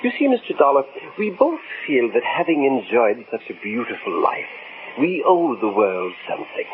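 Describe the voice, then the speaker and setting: A man's voice: mid-range voice, very animated, moderate pace, close to an everyday voice. A man playing an elderly, courtly, fanatical former professor in an old-time radio drama, speaking formally and pompously. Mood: polite, resolute. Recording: noisy, narrow-band vintage radio recording.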